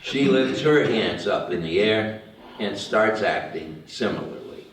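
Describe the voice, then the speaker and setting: A man's voice: fairly low pitch, expressive, measured pace, slight background noise. A man reading stage directions as the narrator of a screenplay table read. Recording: very echoey room, distant mic.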